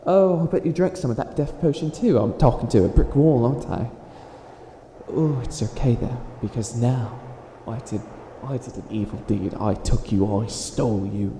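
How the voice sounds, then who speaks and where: A man's voice: medium pitch, normal speed, warm tone, reverberant, slight background noise, lightly acted. A man playing a theatrical, exasperated wicked witch who fails at being evil, stammering through a comedic roleplay monologue. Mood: exasperated, whiny, then resigned, muttering. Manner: exasperated, stammering, melodramatic villain rambling.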